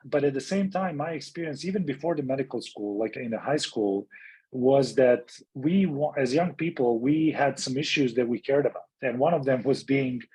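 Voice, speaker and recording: A man's voice: medium pitch, normal speed, a little mellow, breathy edge, low-quality recording. A middle-aged man from Serbia, a physician and health activist, speaking as an interview guest in a reflective, storytelling way with frequent restarts.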